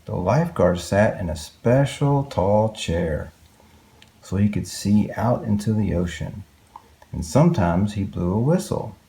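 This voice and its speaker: Animated, slow-paced male children's storyteller. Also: on the low side, gravelly. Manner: expressive, exclamatory, asking questions of young listeners. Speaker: storyteller reading children's books aloud. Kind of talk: read-aloud picture book narration with asides.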